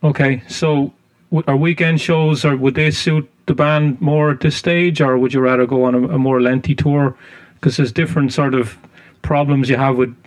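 A man's voice: mid-range voice, steady tone, moderate pace, no echo. Irish guy doing a radio interview, dry close sound, trails off and restarts his questions. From Ireland. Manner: rambling questions with fillers. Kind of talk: casual interview chat.

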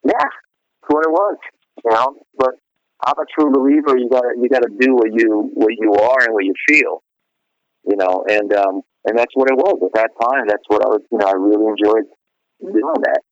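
A man's voice: medium pitch, expressive, moderate pace, no room sound. A middle-aged male singer being interviewed, chatting casually and rambling with lots of "you know" fillers and restarts. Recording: dry, dull lo-fi radio sound.

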